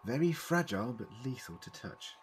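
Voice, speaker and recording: An actor playing an eccentric, clever time-travelling man in an audio drama, deliberate and formally worded as he explains. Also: mid-range voice, big pitch swings, slight background noise, natural voice, rough recording. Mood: measured, explaining.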